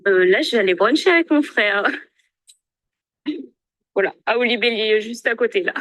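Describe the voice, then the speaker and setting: Curious female street interviewer, higher voice, dry close sound. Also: lively intonation, fairly quick, fairly bright tone, no room sound.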